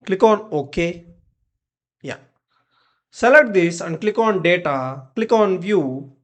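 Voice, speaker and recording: A tutorial presenter narrating step-by-step software instructions in short, clipped commands. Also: medium pitch, expressive, takes it slowly.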